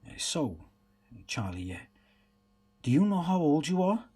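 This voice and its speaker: A man playing a weary, patient detective in a radio play, with a husky voice. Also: a little high, sing-song, unhurried, dark tone, heavily acted. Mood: gentle, coaxing. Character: weary male detective and father, patient questioner. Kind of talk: radio drama dialogue.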